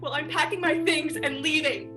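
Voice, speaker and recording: A woman playing an anxious, wounded lover in a fan-made superhero drama, with halting, emotional delivery. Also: sits high, over music, lightly acted. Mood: bitter, hurt. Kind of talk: dramatic acting in a fan-made online play.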